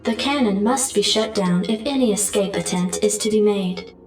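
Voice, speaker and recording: A woman voices a calm military computer adjutant reading out formal briefings in a game. Her voice sounds echoey and set back from the mic. Faint music runs underneath. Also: medium pitch, normal speed, natural voice. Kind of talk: video game mission briefing. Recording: echoey, distant comms voice.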